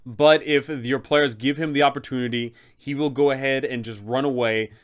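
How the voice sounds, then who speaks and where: Clear smooth-voiced man narrating a game guide. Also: medium pitch, fairly even intonation, normal speed. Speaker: host, game master giving advice.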